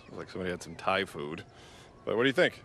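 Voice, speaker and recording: Low-voiced uptight American cop. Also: male voice, expressive, racing, bright, forceful tone, a little hiss or hum, heavily acted. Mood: nervous, eager. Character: wry, uptight policeman and exasperated older brother. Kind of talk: video game voice acting.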